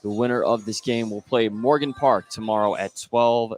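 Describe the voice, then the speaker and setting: A man's voice: on the low side, fairly even intonation, normal speed, darkish tone. A man calling live basketball play-by-play for a radio broadcast. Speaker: play-by-play announcer. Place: indoor basketball gym, live game. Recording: noisy live gym broadcast.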